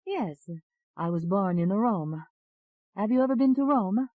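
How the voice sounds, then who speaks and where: A woman reader performs a gentle young Italian woman with a soft, raised voice and an Italian accent. She is courteous and a little hesitant, a delicate audiobook character. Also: mid-range voice, expressive, measured pace, no room sound, phone-quality sound, voice pushed up. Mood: polite, calm. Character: soft-spoken, polite, timid young Italian woman alter.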